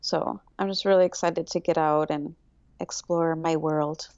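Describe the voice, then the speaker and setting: A woman chatting as a podcast guest, upbeat and easily excited as she talks. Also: sits low, no echo, highs cut off. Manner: upbeat, bubbly, quick to get excited. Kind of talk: casual interview chat.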